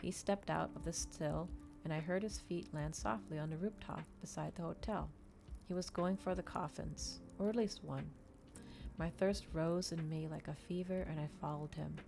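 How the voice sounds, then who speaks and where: A woman reading a novel aloud in a dramatic ASMR storytelling style, with measured, expressive delivery. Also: low-pitched, gravelly, over music.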